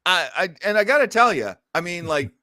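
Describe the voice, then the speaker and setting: A man's voice: sits high, big pitch swings, a little fast, sharp tone, no room sound, studio quality. An American man hosting a casual radio fan show, speaking in a theatrical, effusive and self-mocking manner. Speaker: host. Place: indoors at home.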